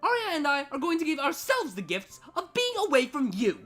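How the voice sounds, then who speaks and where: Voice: high-pitched, wildly swooping pitch, brisk, a bit bright, faint music behind, pitched above the performer's own voice, full character voice. A performer voicing an irritable, bossy female siren with a sarcastic, exasperated manner for a story reading. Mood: angry. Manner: sardonic, snapping, exasperated.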